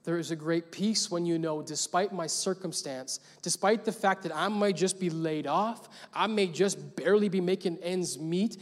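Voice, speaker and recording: A young adult man preaching a sermon as pastor, telling a story casually and building to emphatic points. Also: fairly high pitch. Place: large church hall. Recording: long church hall reverb.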